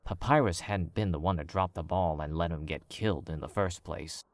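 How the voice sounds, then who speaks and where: A man narrating a fan fiction as an audiobook, in a measured, wry storytelling manner. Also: low-pitched, no room sound.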